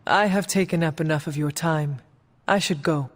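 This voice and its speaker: An actress playing a poised, curious warrior woman in a video game, speaking in a formal, measured way. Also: low voice. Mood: calm, curious. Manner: formal, measured, few contractions. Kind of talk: video game cutscene dialogue.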